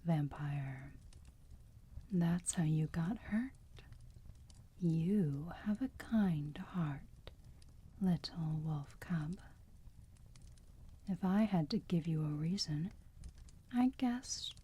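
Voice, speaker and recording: A woman playing a motherly, teasing vampire who soothes a wounded enemy in a slow, breathy whisper, in an ASMR roleplay. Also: on the low side, warm tone, heavily acted. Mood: tender, musing. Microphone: close microphone.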